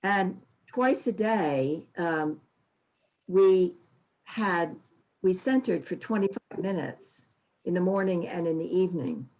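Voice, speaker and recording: A woman with a slightly low voice speaks very slowly and reflectively, with hesitations. She talks through a computer mic on a video call. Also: expressive, darkish tone, low-quality recording. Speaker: group member. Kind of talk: recalling a personal experience.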